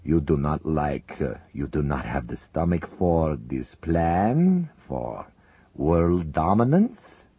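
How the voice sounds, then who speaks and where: A man voicing a ruthless older Soviet leader who talks slowly and menacingly, quick to rage, in an audiobook. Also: very deep voice, big pitch swings, slightly rough, dry recording, phone-quality sound, full character voice. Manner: slow, menacing, prone to rage.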